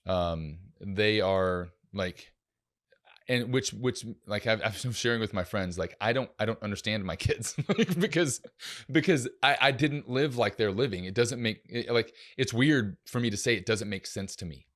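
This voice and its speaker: A man's voice: fairly low pitch, moderate pace. A man co-hosting a casual talk show, speaking in a reflective way with frequent restarts. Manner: reflective, many fillers and restarts. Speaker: podcast co-host. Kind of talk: casual chat.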